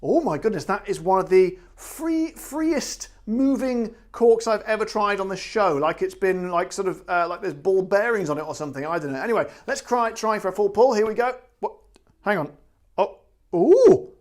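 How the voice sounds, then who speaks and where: A man's voice: high voice, animated, normal speed, darkish tone. A man with a British accent hosting a casual gin review, rambling and self-deprecating, often stumbling over words. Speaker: gin review show host. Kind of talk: casual spoken product review.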